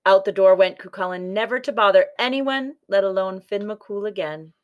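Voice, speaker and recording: A woman's voice: medium pitch, moderate pace, narrow, tinny audio. A woman reading a children's folktale aloud as scripted storybook narration. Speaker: story reader.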